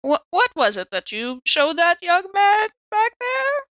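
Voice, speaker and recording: High, bright woman in a tabletop game. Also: wildly swooping pitch, a little slow, dry recording, clean studio sound. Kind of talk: casual banter and role-play.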